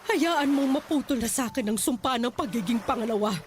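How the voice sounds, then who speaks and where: A woman's voice: a little high, a little fast, a little mellow, a little hiss or hum, natural voice. An actress playing a bitter, hurt middle-aged mother who scolds her daughter with emotional, reproachful outbursts. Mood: resolute. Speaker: voice actor in a radio-style drama.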